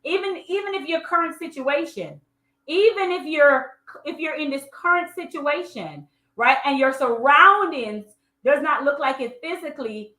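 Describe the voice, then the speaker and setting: Jamaican lady doing a motivational live training, high voice, emphatic and chatty, echoey room. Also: lively intonation, moderate pace, bright, forceful tone. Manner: emphatic, repetitive, colloquial direct address. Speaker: online business coach.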